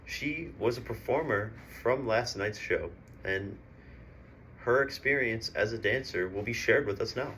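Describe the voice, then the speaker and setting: A man's voice: lowish voice, unhurried, a bit bright. Man playing a projected, showy newsreel host. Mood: courteous, presentational. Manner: projected, presentational. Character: polite, sympathetic male newsreel host, showy. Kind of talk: mock news presenting. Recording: faint background noise.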